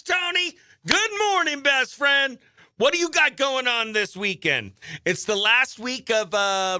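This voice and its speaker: A man's voice: high voice, sharp tone, no echo, studio quality. An exuberant American man hosting a morning radio show with playful, chatty banter and effusive greetings. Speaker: morning radio host and comedian.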